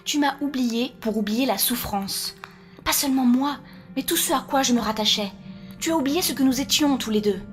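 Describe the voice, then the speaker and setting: Actress as knowing ghostly little sister all grown up, poised measured delivery, faint music behind. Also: female voice, high voice, close to an everyday voice. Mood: reproachful. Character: teasing, reproachful dead younger sister, imagined grown up. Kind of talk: audio drama acting.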